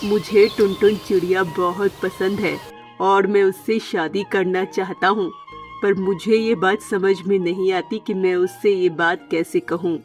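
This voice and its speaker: A woman voicing a lovestruck bachelor male parrot, a gentle employer, earnest and coaxing in a children's cartoon story. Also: mid-range voice, steady tone, a little slow, faint music behind, close to an everyday voice.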